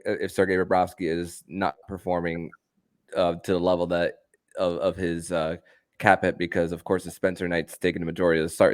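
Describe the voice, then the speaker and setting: A man with a low voice hosts a casual sports discussion. The sound is dry, with no room on it. He talks haltingly, often tripping and doubling back on words. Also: dry recording. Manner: halting, stammering over repeated words. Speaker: sports podcast host.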